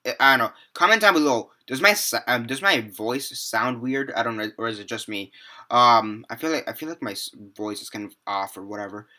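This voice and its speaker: A man's voice: medium pitch, lively intonation, fairly quick, sharp tone. A seventeen-year-old young man giving a rambling, casual movie review, full of fillers, restarts and the odd swear word. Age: teens.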